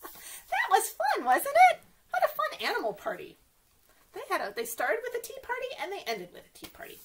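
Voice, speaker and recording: A woman's voice: very high-pitched, wildly swooping pitch, brisk, noisy background. A woman reading a picture book aloud for young children as a story time host, playfully exclaiming and asking her listeners questions.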